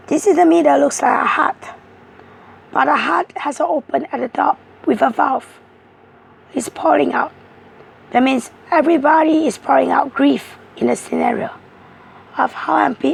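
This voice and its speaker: A woman with a hoarse, strained voice slowly explaining tarot cards. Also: sits high. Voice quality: rough, strained voice. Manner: slow, halting, repetitive explaining. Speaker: tarot card reader.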